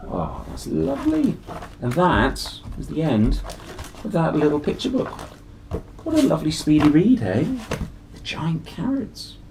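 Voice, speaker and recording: A man hosting a picture-book read-aloud, telling the story in a playful, exclamatory way with drawn-out phrases and chatty asides to children. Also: on the high side, wildly swooping pitch, creaky, noisy background. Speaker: host reading picture books aloud.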